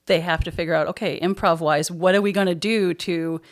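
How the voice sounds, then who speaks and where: An American woman who directs high school theater, answering casually in a podcast interview. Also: fairly even intonation, a little fast.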